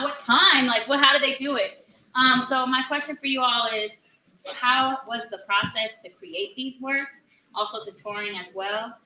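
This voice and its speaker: A woman's voice: on the high side, pushed and bright, roomy sound, far from the mic, a little hiss or hum, low-quality recording. A woman moderating a live panel on a theater stage, upbeat and chatty.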